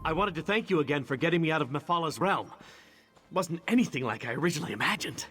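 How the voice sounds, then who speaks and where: An actor playing a charming, candid knight in a fantasy video game, wry and conversational, hesitant when confiding. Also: male voice, fairly high pitch, expressive, fast, a bit bright, slightly rough. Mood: easygoing, wry. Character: charming, candid male knight champion of a goddess. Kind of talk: video game character dialogue.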